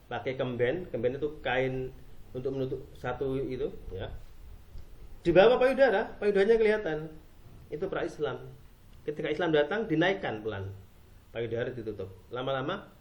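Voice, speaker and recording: Guy explaining stuff casually, asks questions then answers em, kinda muffled lo-fi sound. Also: medium pitch. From Java, Indonesia. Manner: poses rhetorical questions and answers them himself. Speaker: speaker on Islam and culture. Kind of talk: informal explanatory talk.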